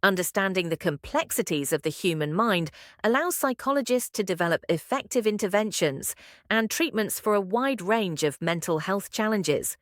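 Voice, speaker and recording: A woman with an average-pitched voice reads a formal scripted passage aloud. The sound is dry, free of any echo. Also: animated, moderate pace. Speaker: sample answer reader. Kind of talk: read-aloud scripted narration.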